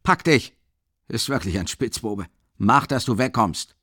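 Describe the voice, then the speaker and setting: A man reading a story aloud who voices a big, rough house porter, loud, blunt and scolding. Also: mid-range voice, big pitch swings, moderate pace, no room sound, studio quality.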